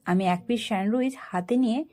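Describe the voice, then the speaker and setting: A woman storyteller narrating a first-person story in an expressive, dramatic way. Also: a little high, brisk.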